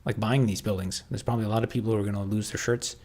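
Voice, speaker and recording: A man with a Canadian accent chatting casually on a livestream, answering viewers and thinking aloud in halting, restarting sentences. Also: on the low side, fairly even intonation, a little mellow.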